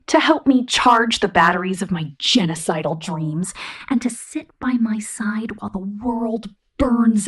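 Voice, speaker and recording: Woman acting a female killer robot, husky half-whisper, swooping intonation, possessive, megalomaniac, seductively menacing, ASMR roleplay. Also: medium pitch. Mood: possessive, sinister. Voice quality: breathy, whispery.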